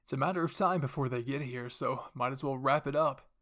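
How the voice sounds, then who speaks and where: A man's voice: medium pitch, brisk, a bit bright, close to an everyday voice. A man voicing a brash, cocky military enforcer in an anime roleplay drama, with a mocking, taunting manner. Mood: impatient.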